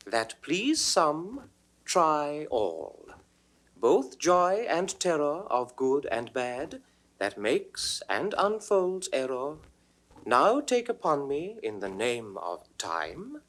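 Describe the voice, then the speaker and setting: An actor playing Time as a stately, ageless chorus, speaking verse to the audience in a solemn, measured, declamatory manner. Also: male voice, a little high, huge pitch swings, fairly bright tone, full character voice. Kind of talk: 1960s classical verse recitation for audio drama.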